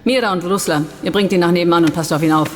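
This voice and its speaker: A woman's voice: fairly low pitch, rapid-fire, big-room sound, natural voice. An actress playing a hard, determined urban guerrilla leader in a radio drama, commanding and cool in her delivery.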